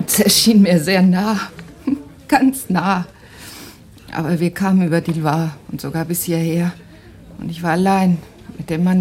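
An actress playing an anguished young refugee wife in a radio play, speaking haltingly and intensely, at times breathy. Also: female voice, on the low side, a little mellow, close to an everyday voice. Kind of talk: dramatic radio play acting.

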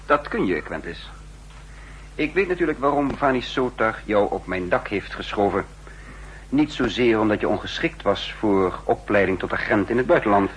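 An actor playing a cold, commanding spymaster who narrates his own story in a deliberate, formal manner in a 1960s radio drama. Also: fairly low pitch, lively intonation, close to an everyday voice, poor audio. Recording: hissy, humming 1960s radio recording.

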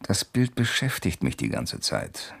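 An actor playing a persistent, slyly courteous investigator in a German radio crime drama, questioning insistently and deliberately. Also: male voice, very deep voice, wildly swooping pitch, vocal fry, fairly bright tone, heavily acted. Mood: probing, feigned innocence. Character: persistent amateur investigator, male, probing with sly courtesy.

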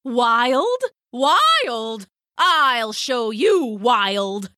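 Pompous male storybook dove, bossy and affronted, emphatic, forceful delivery played by a woman. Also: high-pitched, sing-song, takes it slowly, dry recording, studio quality, voice pushed up, heavily acted. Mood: threatening. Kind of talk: children's storybook character voice.

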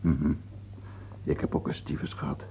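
An actor playing a morbid, fearful king in a radio play, brooding and theatrical, with a husky, gravelly voice. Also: very deep voice, little pitch movement, normal speed, slight background noise. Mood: morbid, uneasy. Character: morbid, fearful French king haunted by death. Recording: muffled narrow-band old radio recording.

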